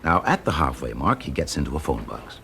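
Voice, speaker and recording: Shrewd British investigator, very low voice, lively intonation, measured polite probing, classic radio drama, some background noise. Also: male voice, full character voice. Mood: reasoning. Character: shrewd, methodical male fire investigator questioning suspects. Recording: noisy vintage radio drama recording.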